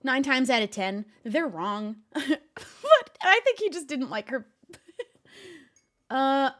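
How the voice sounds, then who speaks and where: A woman's voice: sits high, slow. A woman streamer giving casual reaction commentary, laughing often as she talks. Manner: giggly, animated, talks to a pet.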